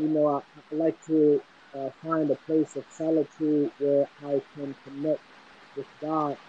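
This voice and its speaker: A man's voice: a little high, breathy edge, highs cut off. A man in a casual chat who talks in an encouraging way and often says "man". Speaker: conversation partner.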